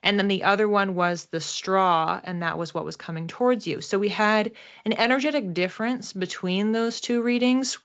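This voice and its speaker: A woman's voice: mid-range voice, moderate pace. Woman in casual explanatory talk, slightly muffled. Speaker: psychic reader, host. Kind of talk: casual explanatory card reading to camera.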